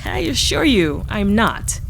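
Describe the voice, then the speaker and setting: A woman's voice: medium pitch, very animated, slow pace, vocal fry, a little hiss or hum, full character voice. A woman playing a brash, wisecracking adventurer in an audio drama, with sassy, teasing showmanship. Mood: teasing, persuasive. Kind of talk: audio drama acting, conversational.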